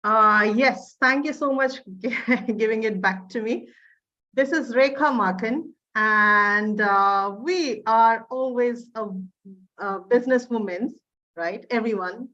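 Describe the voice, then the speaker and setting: A woman's voice: a little high, slow pace, sharp tone, muffled recording. A woman from India hosting an online group call, hesitant with many fillers. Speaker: host.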